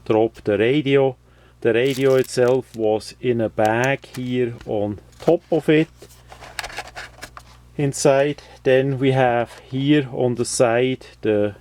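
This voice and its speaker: A man giving a casual, unscripted product walkthrough, explaining things haltingly with many uhs and repeated words. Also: medium pitch, a little mellow, a little hiss or hum. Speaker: host of product unboxing videos.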